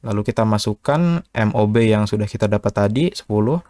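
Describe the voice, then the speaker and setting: A methodical male tutor with a fairly low voice, explaining one step at a time. His voice-over sounds close and dry, with no room echo. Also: lively intonation, a little fast, mellow. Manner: patient, methodical, with filler "eh" and "ya". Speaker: online tutor. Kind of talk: step-by-step physics problem walkthrough.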